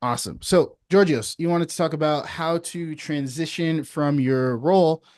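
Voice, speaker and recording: A man hosting a group call as a sales coach, giving relaxed, encouraging feedback with a casual manner. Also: fairly high pitch, normal speed, no room sound.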